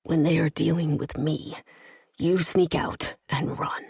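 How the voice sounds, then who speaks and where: A woman voicing a gravely wounded alien male warrior who speaks in a hoarse, pained whisper. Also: low-pitched, a little slow, darkish tone, no echo, studio quality, heavily acted. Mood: pleading whisper.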